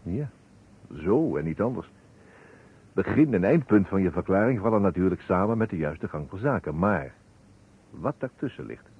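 A shrewd, methodical detective in an old radio play. His low voice swings expressively through formal, precise phrases. The recording is tinny and noisy. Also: lively intonation, normal speed, pauses often, husky, full character voice. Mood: measured, insistent. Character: shrewd, courteous male investigator, probing and methodical. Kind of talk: Dutch radio drama acting.

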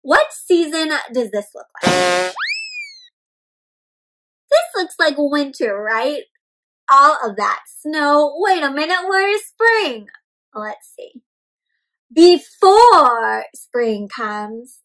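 A young woman reading a picture book aloud to young children in an animated, expressive way, often putting questions to the listeners. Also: sits high, drawn-out words, pauses often, poor audio. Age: young adult. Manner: animated, questioning, addressing young viewers as friends; exaggerated expressive delivery with gasps and stretched words. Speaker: children's read-aloud storyteller. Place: indoor room with houseplants.

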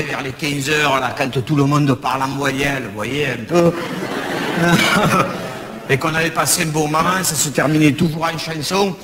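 A man's voice: mid-range voice, a bit bright. A man with a Marseille accent chatting with a live audience from a stage, rambling with hesitant restarts. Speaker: comedian on stage. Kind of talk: stand-up chat with the audience. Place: large theater stage. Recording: echoey hall with crowd.

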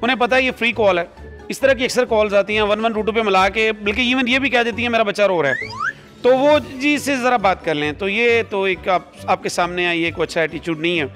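Male rescue spokesman, Pakistani accent, high voice, polite explanations, music underneath. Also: big pitch swings, a bit bright. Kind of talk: interview answers. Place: emergency call-centre control room. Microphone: reporter's handheld microphone held to him.

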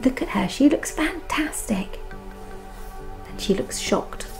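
A woman reading a bedtime storybook softly and expressively, with chatty asides about the pictures. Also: high voice, animated, slow pace, gravelly, whispered. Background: music.

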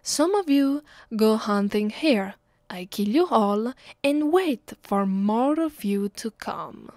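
A woman with an Italian accent voicing a cruel, bored, centuries-old vampire mistress in an ASMR roleplay, deliberate and condescending. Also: fairly high pitch, lively intonation, darkish tone, no echo, studio quality. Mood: bored menace. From Italy. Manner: slow, deliberate, menacing, condescending.

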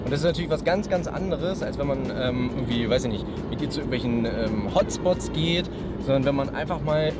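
A young man vlogging casually from a van on a road trip, talking with excitement and lots of fillers. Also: medium pitch, a little fast, over loud music. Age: young adult. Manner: chatty, excited, many fillers. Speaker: vlogger and filmmaker. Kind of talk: casual travel vlog chat. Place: inside a van.

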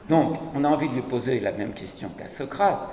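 An older man, a little hoarse, gives an ironic and animated public talk on philosophy from a panel table, speaking into a desk mic. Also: medium pitch, fairly quick. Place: large hall, seated at a panel table. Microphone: desk mic on a stand. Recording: echoey hall from a distance.

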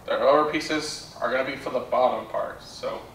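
A young man giving a casual product demonstration in a plainspoken, low-key way, recorded indoors on a distant camera mic. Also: normal speed, a bit bright. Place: bare indoor room with carpet. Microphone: far camera. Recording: roomy echo, distant mic, background noise.

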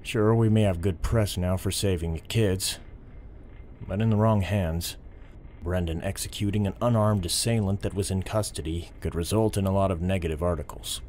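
Man playing a low-voiced, principled cop. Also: moderate pace, darkish tone, slight background noise, close to an everyday voice. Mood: earnest, controlled. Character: principled, stubborn male police officer, controlled and respectful. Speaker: voice actor in an audio drama. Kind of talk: audio drama dialogue.

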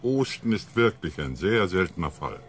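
A level-headed ship psychologist in a radio play. His low voice moves expressively as he patiently explains, over faint ambience. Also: big pitch swings, moderate pace, slight background noise. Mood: measured, explanatory. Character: calm, balancing ship psychologist, a man. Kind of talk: science fiction radio drama dialogue.

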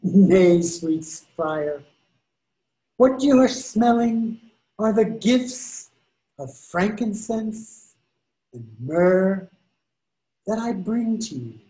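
An older man reading the part of the devil disguised as a friar, slow and coaxing, in a video call reading. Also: high-pitched, lively intonation, mellow, full character voice, rough recording. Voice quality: slow, drawn-out, coaxing delivery. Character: devil posing as a sweet-talking friar, male. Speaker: reader in readers theater. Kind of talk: amateur readers theater over video call. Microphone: video call webcam.

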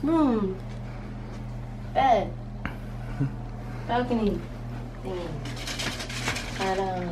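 A young woman giving an excited, giggly apartment tour, naming each thing she finds. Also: mid-range voice, drawn-out words. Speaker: vlogger. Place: small apartment indoors. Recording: noisy handheld home video.

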